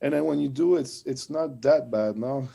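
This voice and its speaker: A man's voice: medium pitch, normal speed, vocal fry, dark tone, husky. A man with a French accent, talking casually as an interview guest and telling stories in a conversational way. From France and French-speaking Switzerland. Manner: conversational storytelling with frequent "like".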